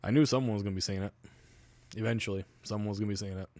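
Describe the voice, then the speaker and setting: A man's voice: fairly low pitch, steady tone, very fast, a little mellow, no room sound. A man with an Albertan Canadian accent, hosting a livestream and rambling casually, with loose, profane asides. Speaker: livestream host.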